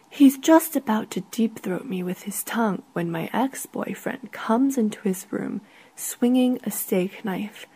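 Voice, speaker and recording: Breathy woman slowly reading a short story. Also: mid-range voice, mellow, poor audio. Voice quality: breathy, often falling to near whisper. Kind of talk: literary first-person story narration.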